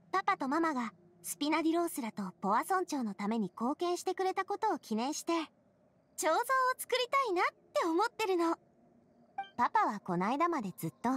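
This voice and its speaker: アニメ調ゲームで、穏やかで茶目っ気のある若い女性リーダーを演じる女性。くだけた女性らしい口調で真摯に話す。. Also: female voice, high-pitched. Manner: casual, feminine, softly earnest. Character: bright, resilient young woman leader, gentle and playful. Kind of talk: Japanese anime game voice acting.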